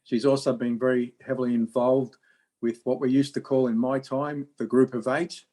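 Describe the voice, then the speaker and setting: A man of ordinary pitch introduces a guest in fluent, measured sentences. He speaks smoothly, with few hesitations. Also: little pitch movement, mellow. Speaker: meeting host introducing the speaker. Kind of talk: formal introduction.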